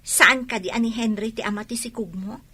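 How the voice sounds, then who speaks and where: A woman's voice: fairly high pitch, fairly even intonation, brisk, bright, forceful tone, breathy edge. A woman playing a blunt, scolding young friend in an Ilocano radio drama. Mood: sarcastic.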